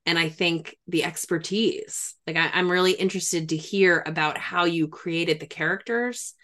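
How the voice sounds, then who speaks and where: A woman's voice: fairly low pitch, moderate pace, bright, forceful tone. A woman hosting an interview, talking in a conversational, explanatory way with engaged enthusiasm. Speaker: interviewer, host trained in trauma and grief work.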